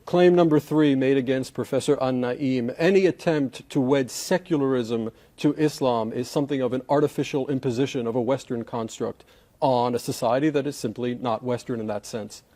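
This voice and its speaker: A man's voice: mid-range voice, steady tone, moderate pace, warm tone. A man hosting a formal interview as a professor, asking articulate questions and humming along as he listens.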